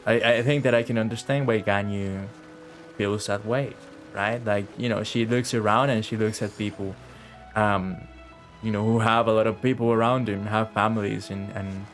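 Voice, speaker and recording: A man giving casual, halting, reflective livestream commentary on a video game in an informal way. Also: lowish voice, fairly even intonation. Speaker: game streamer. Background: faint game music.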